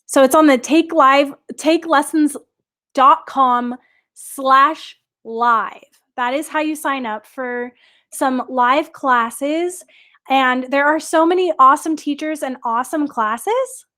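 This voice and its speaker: A woman's voice: high-pitched, slow, bright, forceful tone. A young woman teaching a live online singing class, chatting upbeat with viewers and answering their questions.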